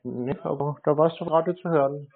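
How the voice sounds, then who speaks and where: A man chatting casually on the phone as a prank caller, with a deadpan, naive-sounding manner. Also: fairly high pitch, fairly quick, vocal fry. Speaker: host, scam-baiting prank caller. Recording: noisy narrow phone-like sound with clicks.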